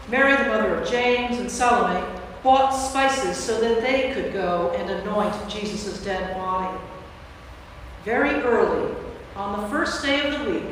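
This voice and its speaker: A woman's voice: fairly high pitch, fairly bright tone. A woman priest reading scripture aloud as the narrator of a staged reading. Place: church, live before audience. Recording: very echoey church, distant mic, faint noise.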